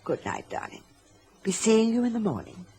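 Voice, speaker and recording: A woman's voice: fairly high pitch, wildly swooping pitch, moderate pace, dark tone. Domineering mother, swooping and theatrical. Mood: falsely gracious. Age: middle-aged. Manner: imperious, silky, theatrical. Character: scheming, domineering mother with a sweet, coaxing front. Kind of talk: old-time radio drama acting. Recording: noisy old radio drama recording.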